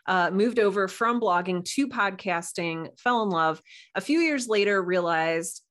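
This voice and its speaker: A woman's voice: mid-range voice, measured pace, fairly bright tone. A woman chatting casually as a podcast guest, explaining things with enthusiasm. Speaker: podcast guest, writer and audio drama producer. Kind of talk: casual interview chat.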